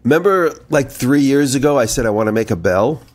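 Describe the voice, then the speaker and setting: A man's voice: mid-range voice, expressive, a little fast. A man co-hosting a podcast in casual chat, telling rambling anecdotes, slightly nasal from a head cold. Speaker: podcast co-host.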